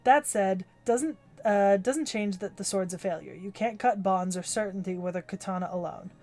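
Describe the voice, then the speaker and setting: A gruff, proud old swordsmith, put on by a woman reading a game aloud, with a growling voice in her ordinary pitch range. He speaks curtly and commands attention. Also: normal speed, darkish tone, close to an everyday voice. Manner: curt, commanding delivery. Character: gruff older male swordsmith, blunt and proud. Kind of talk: reading a game's story aloud on stream.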